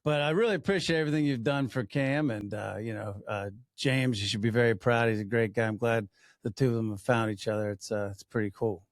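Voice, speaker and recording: A middle-aged man co-hosting a podcast, telling stories in a chatty, halting way with restarts. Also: mid-range voice, no room sound, clean studio sound. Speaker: podcast co-host. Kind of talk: casual chat.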